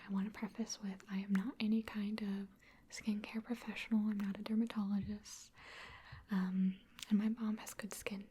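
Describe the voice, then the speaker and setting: A woman from Wisconsin, an ASMR creator, answering viewer questions in a soft, chatty, self-deprecating whisper. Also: mid-range voice, slight background noise. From Wisconsin, USA.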